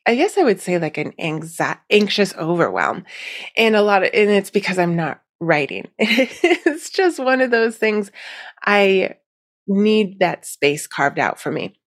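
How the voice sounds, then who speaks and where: An American woman hosting a podcast, chatting casually and in a rambling way to her listeners, sounding a bit nasal and congested from allergies. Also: medium pitch. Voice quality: slightly stuffy, nasal from allergies. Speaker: podcast host.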